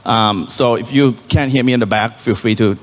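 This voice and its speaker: Thai guy pitching his startup on stage, lots of ums, mic in a reverby hall. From Thailand. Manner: halting, many ums and restarts, earnest. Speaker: startup founder presenting on stage. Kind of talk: conference pitch talk. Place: conference venue hall. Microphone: handheld stage mic. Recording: hall reverb from a live venue.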